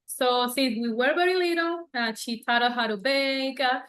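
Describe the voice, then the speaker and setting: Woman, Venezuelan accent, native Spanish speaker, high bright voice, casual storytelling, interview guest. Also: normal speed. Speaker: guest, bakery owner.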